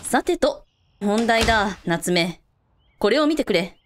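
A woman's voice: mid-range voice, animated, takes it slowly, slight background noise. A woman playing a confident, caring hotel owner in a radio drama, casually authoritative with everyone. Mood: businesslike. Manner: casually authoritative, blunt with staff. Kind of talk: scripted radio drama acting.

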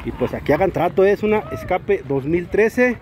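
A Mexican man showing cars at an outdoor market, talking in a lively, salesy way full of slangy diminutives. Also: high voice, normal speed. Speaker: used-car market vlogger. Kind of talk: walk-around car showcase. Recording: outdoor street noise.

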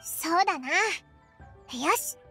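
A woman voicing a tiny, childlike fairy companion in a video game, cheeky, curious and excitable, with brash, boyish casual speech. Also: slow pace, soft background music. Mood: eager, self-satisfied. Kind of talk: animated video game dub acting.

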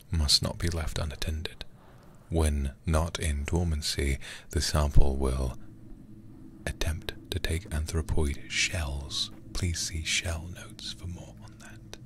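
A man streaming in a hushed ASMR style, reading horror game text deliberately into a close microphone. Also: a bass voice, steady tone, slight background noise.

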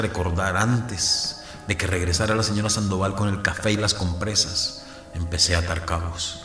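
A man's voice: lowish voice, a bit bright, reverberant, natural voice. An actor playing a guilt-ridden priest narrating his past in an audio drama. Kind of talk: scripted first-person dramatic narration.

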